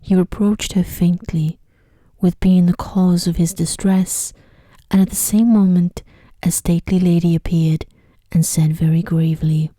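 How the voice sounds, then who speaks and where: A woman reads a bedtime story as soft ASMR narration. Her voice is lowish and extremely mellow, hushed and gentle with touches of whisper. The sound is close and dry. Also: level tone, measured pace, no room sound.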